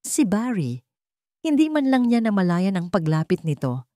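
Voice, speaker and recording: A woman's voice: medium pitch, sing-song, normal speed, warm tone, no echo, clean studio sound. A woman reading scripted dramatic narration of a romance story.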